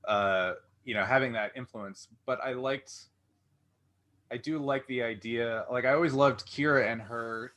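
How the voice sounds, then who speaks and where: A man with a bright, forward voice at medium pitch hosts a casual interview. He rambles conversationally, working out his thoughts aloud as he goes. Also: unhurried. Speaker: interview host.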